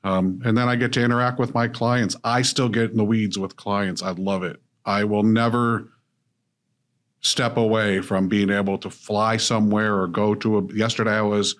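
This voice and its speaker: A man's voice: lowish voice, steady tone, moderate pace. A middle-aged man from Illinois, an author and business coach, speaking steadily and reflectively as a podcast guest. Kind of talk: conversational interview.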